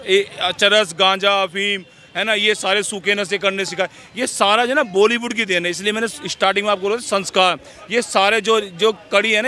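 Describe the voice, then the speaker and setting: Preachy, high-voiced man with forceful tone. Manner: preachy, checks in with rhetorical tags. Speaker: street interviewee. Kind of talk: opinionated street interview.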